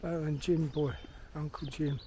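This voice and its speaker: A man's voice: a little high, fairly even intonation, unhurried, warm tone. A middle-aged man with a London accent, chatting bluntly and swearing as he rambles to himself on a walk in a park. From London, England. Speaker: vlogger, filmmaker. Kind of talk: rambling casual monologue while walking. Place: outdoors in a park. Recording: outdoor background noise. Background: birdsong and outdoor ambience.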